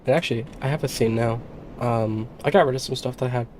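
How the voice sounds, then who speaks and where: Woman, hoarse from being sick, dark mellow tone, rambling casual stream chat, desk mic. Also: very deep voice, steady tone, fast talker, a little hiss or hum. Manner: rambling, many fillers and restarts.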